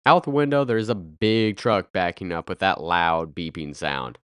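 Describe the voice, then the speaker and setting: A man in his thirties hosting a podcast, speaking with a raspy, nasal voice from a head cold, earnest with bursts of playful energy. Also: sits low, measured pace, dry recording, studio quality. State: congested with a cold, stuffy and nasal. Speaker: podcast host. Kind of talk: scripted podcast monologue.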